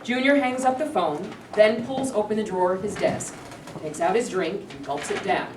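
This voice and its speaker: A woman's voice: mid-range voice, normal speed. A woman reading stage directions as scripted narration for a play. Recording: echoey room, distant mic, clear noise.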